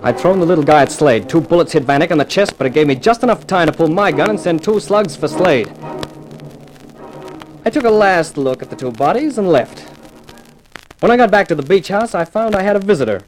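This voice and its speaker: An actor playing a cool, cocky hard-boiled sleuth in a 1950s radio crime drama, wry and taunting. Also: male voice, fairly high pitch, expressive, brisk, music in the background, lightly acted. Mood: narrating, wry. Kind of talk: 1950s radio drama acting and first-person narration. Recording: noisy old 1950s radio recording.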